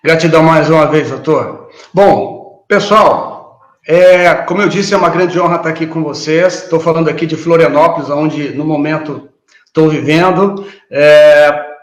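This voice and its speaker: Man, 50s, earnest guest lecturer, hesitant and reflective, slightly high voice, roomy video-call sound. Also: a little slow. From Paraná, Brazil. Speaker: guest speaker, behavioral trainer. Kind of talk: online lecture talk.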